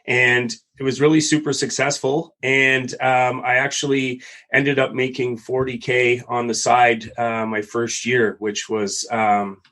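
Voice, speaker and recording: A man's voice: mid-range voice, level tone, a little slow, bright, forceful tone. A man from Canada, a guest answering interview questions conversationally and often hesitating with ums. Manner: hesitant, many ums and restarts.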